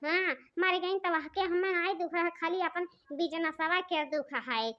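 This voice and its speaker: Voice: extremely high pitch, a little slow. A performer voicing dramatic, squabbling village women in a comedy cartoon, with a thin childlike voice, an Awadhi accent and wailing, scolding delivery. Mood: scolding, bitter. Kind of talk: comedy cartoon dialogue in rural dialect. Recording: dull, muffled lo-fi audio.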